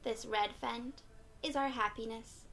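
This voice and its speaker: A woman reading a picture book aloud to young children in an encouraging, expressive way, often putting questions to her listeners. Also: a little high, a little slow, creaky, a little hiss or hum. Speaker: children's read-aloud storyteller.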